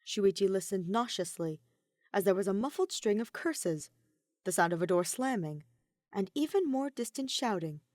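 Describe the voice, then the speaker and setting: A woman reading scripted fiction as an expressive audiobook narrator. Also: mid-range voice, no echo, clean studio sound. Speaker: podfic narrator.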